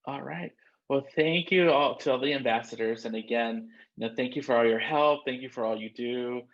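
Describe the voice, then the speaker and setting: A man's voice: medium pitch, normal speed. A man hosting a virtual event informally, speaking in a supportive, conversational way. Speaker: host, student center staff. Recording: dull, muffled online call audio.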